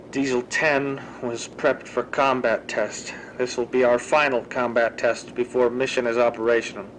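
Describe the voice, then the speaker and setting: A man's voice: medium pitch, a little hiss or hum, close to an everyday voice, poor audio. A man playing a researcher who logs grim experiments in a terse, clinical way for a horror audio drama. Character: male researcher coolly logging grim monster experiments. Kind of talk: scripted audio log narration in horror fan drama.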